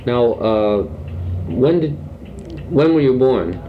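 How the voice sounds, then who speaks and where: A man interviewing an elderly guest, prompting him gently with short questions and fillers. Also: mid-range voice, expressive, slow, slight background noise. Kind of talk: oral history interview. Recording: dull lo-fi recording.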